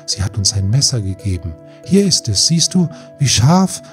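A man's voice: mid-range voice, sing-song, moderate pace, soft background music, close to an everyday voice. A man voicing urgent mermaid sisters in a fairy tale audiobook, pleading insistently and hurriedly with their youngest sister.

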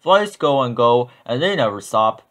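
A man's voice: medium pitch, lively intonation, moderate pace, close to an everyday voice. A man voicing a casual, laid-back frog in a children's storybook.

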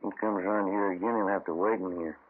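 A male actor as a steady American police detective in an old radio crime drama. He speaks low, in a businesslike, conversational way. The sound is narrow and boxy, with faint noise. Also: quick pace, close to an everyday voice. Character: plain-spoken, steady male police detective, the lead's partner.

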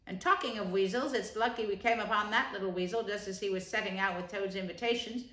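A woman's voice: mid-range voice, quick pace, sharp tone, close to an everyday voice. A woman voicing a firm, kindly male water rat who speaks in an earnest way. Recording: roomy echo.

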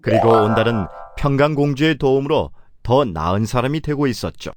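A man's voice: medium pitch, animated, brisk, faint music behind, lightly acted. A man narrating a children's folktale in a scripted storybook style.

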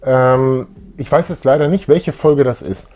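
A man's voice: medium pitch, a little slow, a little mellow. A man, chatting casually as co-host of a fan show. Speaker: YouTube co-host. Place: small room full of collectibles, indoors.

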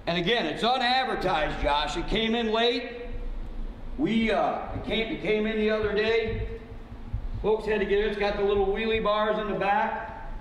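A man's voice: sits high, normal speed. A man working as a live auctioneer, folksy and blunt, talking straight to the bidders. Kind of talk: live auction sales patter. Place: large indoor auction room. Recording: very echoey hall, some background noise.

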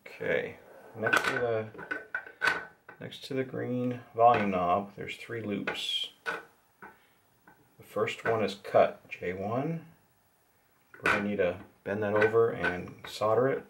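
Slow, gravelly man at a workbench. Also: fairly low pitch, background noise. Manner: slow, halting, talks to himself while working. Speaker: hobbyist showing a radio modification. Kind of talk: casual hands-on demonstration. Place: indoors at a wooden workbench.

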